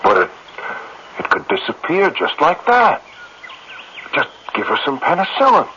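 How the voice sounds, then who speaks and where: Flustered, animated businessman, bright voice. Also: fairly high pitch, unhurried, heavily acted. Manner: hesitant, stammering, protesting. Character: flustered, helpless rich businessman among tribespeople. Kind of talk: 1970s radio drama acting. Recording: muffled, noisy old radio recording.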